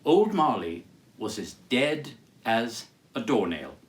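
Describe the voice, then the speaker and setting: A man reads aloud like a stage storyteller, formal and declamatory. His pace is very measured, with dramatic pauses between phrases. His middle-range pitch rises and falls widely. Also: very animated. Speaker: storyteller reading as Charles Dickens. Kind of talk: theatrical literary reading with introduction.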